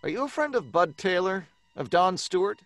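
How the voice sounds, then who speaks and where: An exasperated radio-drama writer with a highish, very animated voice. He blusters and stammers, frazzled and irritable, recorded dry with music underneath. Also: normal speed, no echo. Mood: wary, uneasy. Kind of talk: old time radio drama acting.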